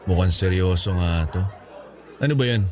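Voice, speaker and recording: A man's voice: low voice, normal speed, crowd noise, close to an everyday voice. A man playing a charming young suitor in a Filipino radio romance drama, speaking with a Filipino accent in a playful, coaxing, conversational way. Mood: affectionate.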